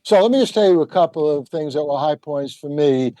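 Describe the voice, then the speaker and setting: A man's voice: a little high, animated, fairly quick, dark tone, no room sound. An older man talking casually as a panel guest, wry and emphatic, wandering into asides as he explains his opinions. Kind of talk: casual discussion, storytelling.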